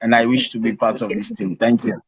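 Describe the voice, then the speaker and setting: A man's voice: medium pitch, big pitch swings, fairly quick, low-quality recording. A man, a course participant, speaking earnestly on a video call and repeating words as he thinks. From Sierra Leone.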